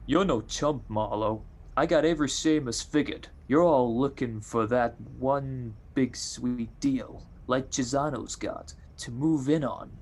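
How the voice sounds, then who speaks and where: An actor playing a greedy, none-too-bright small-time tough guy in an old-time radio crime drama, talking in streetwise slang. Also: medium pitch, natural voice. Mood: greedy, excited. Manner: drawn-out streetwise gangster slang.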